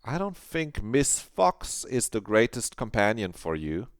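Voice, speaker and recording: Guy doing a teacher lady voice, stern but soft, disappointed, clear tone. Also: fairly low pitch, big pitch swings, unhurried, dry recording, studio quality, pitched above the performer's own voice. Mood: probing. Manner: disappointed, probing, scolding. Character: stern but caring middle-aged female teacher.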